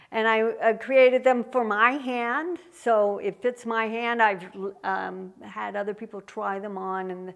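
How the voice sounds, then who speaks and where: Slow-talking woman artist, gravelly tone. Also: medium pitch, fairly bright tone. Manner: unhurried, with frequent ums and pauses. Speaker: artist giving a gallery tour. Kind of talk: casual explanatory walk-through. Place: art gallery, indoors.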